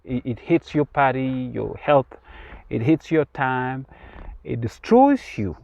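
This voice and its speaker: A man's voice: medium pitch, slow pace, vocal fry, darkish tone, no echo, muffled recording. A Kenyan man who speaks English with an American-sounding accent, talking earnestly in an interview and often repeating words. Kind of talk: casual interview. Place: outdoors in rural Kenya.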